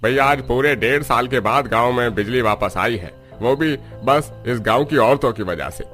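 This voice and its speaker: A man's voice: mid-range voice, moderate pace, a bit bright, faint music behind, lightly acted. A man voicing an earnest, admiring village man in a cartoon story.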